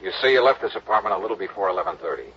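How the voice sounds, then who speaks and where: A man's voice: low voice, expressive, racing, fairly bright tone, husky, full character voice. An actor playing a wry, persistent male insurance investigator in a 1950s radio drama, with dry, clipped, skeptical questioning, on a dull old broadcast recording. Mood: pressing.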